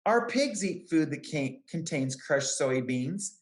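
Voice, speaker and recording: A woman reading a picture book aloud to young children, with careful, deliberate phrasing. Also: low-pitched, expressive. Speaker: children's book reader, extension educator. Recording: roomy, echoing sound.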